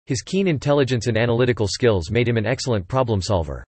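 Fast-reading man, crisp and dry. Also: no room sound. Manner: even, clipped reading at high speed. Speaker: example sentence reader. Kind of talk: scripted sentence reading for English listening practice.

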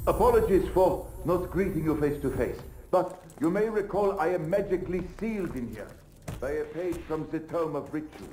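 A man's voice: fairly high pitch, expressive, normal speed, darkish tone, slight background noise, natural voice. An actor playing a polite, scholarly captive man who explains things formally in a video game. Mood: formal, explanatory. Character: courteous, scholarly male captive guiding the player. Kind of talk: scripted video game exposition. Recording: echoing voice amid clear gunfire.

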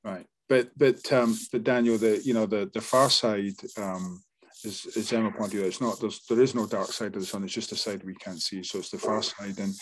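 Low-voiced middle-aged male moderator. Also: steady tone, moderate pace, poor audio. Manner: rambling, repeats words, frequent restarts. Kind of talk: moderating an online Q&A. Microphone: webcam on video call.

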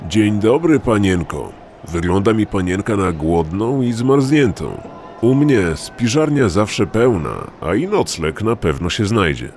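An actor playing a kindly-seeming, pious old gentleman with folksy, old-fashioned speech in an audio drama. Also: fairly low pitch, sing-song, a little slow, slight background noise. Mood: kindly, inviting.

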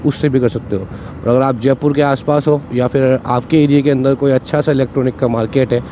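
A young man chats and explains as he rides a motorbike through town, his voice sitting in a middling register. Steady traffic and road rumble run clearly under his words. He speaks into a cheap mic worn on his body. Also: a little fast. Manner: chatty, explanatory, repeats "ठीक है". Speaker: moto vlogger. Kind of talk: casual vlog talk while riding. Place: riding a motorcycle on city roads outdoors. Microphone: cheap wired external mic on rider. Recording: traffic noise from riding outdoors.

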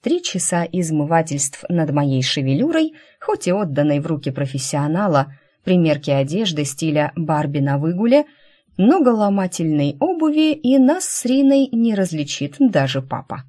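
Female audiobook narrator for fantasy fiction, low register, very animated intonation. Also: normal speed. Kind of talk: expressive scripted narration of a first-person fantasy novel.

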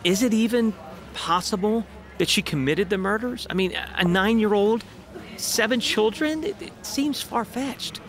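A man's voice: high voice, animated, unhurried, a bit bright. An actor playing a troubled, conscientious man in an audio drama, hesitant and stammering. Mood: incredulous.

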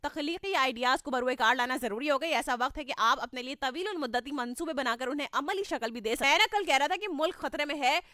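A woman's voice: high voice, fast, few pauses, bright, forceful tone, no room sound. A young woman with a sore throat hosting a morning radio show, reading listener messages in a chatty way, laughing often and slipping into English. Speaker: radio host. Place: radio studio. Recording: music playing underneath.

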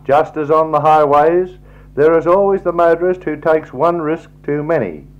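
A man with an Australian accent gives a measured, formal scripted travelogue narration in a 1960s style. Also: a little high. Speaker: slideshow narrator. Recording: dull, lo-fi 1960s tape recording.

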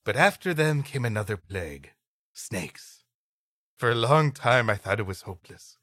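Male podcast co-host, playful story reader, bright and animated, dry recording. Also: medium pitch, moderate pace, no room sound. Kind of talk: reading an old adventure story aloud with comic banter.

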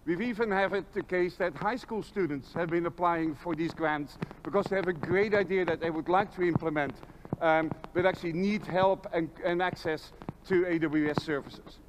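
A man's voice: sits high, normal speed, noisy background. A middle-aged man speaking as an executive presenter in a conference keynote on a large indoor stage.

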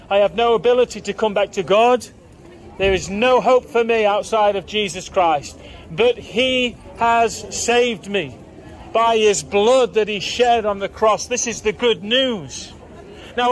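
A man preaching in the open air on a busy town market street, firing repeated questions at passers-by. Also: very high voice. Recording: outdoor street with faint crowd.

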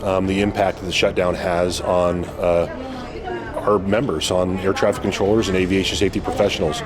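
An American man, a union official, speaking haltingly in an unscripted press interview. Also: sits low, flat delivery.

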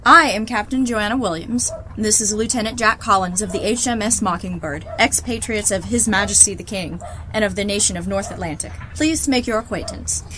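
A woman's voice: mid-range voice, fairly quick, pushed and bright, a little hiss or hum, lightly acted, poor audio. A woman playing a composed military airship captain in an audio drama, formal and dry.

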